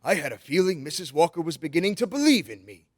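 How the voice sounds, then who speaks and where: A man's voice: a little high, very animated, fast, a little mellow. A man playing a jolly elderly Santa Claus in an old-time radio drama. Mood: wounded, indignant.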